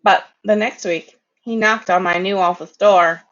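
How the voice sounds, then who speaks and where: A reader giving an expressive, dramatic read-aloud of a rhyming story, now and then stumbling over words. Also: medium pitch, measured pace, dull audio.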